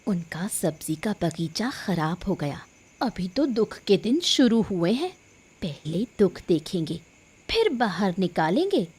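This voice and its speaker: A woman voicing a spiteful, scheming village wife in an animated moral story, mocking and scornful. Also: medium pitch, unhurried, breathy edge, heavily acted. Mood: spiteful, gloating.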